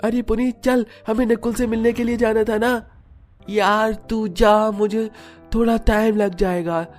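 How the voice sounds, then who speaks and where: A man voicing a brash teenage schoolboy in an animated horror story. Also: high-pitched, a little slow. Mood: casual. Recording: music under the voice.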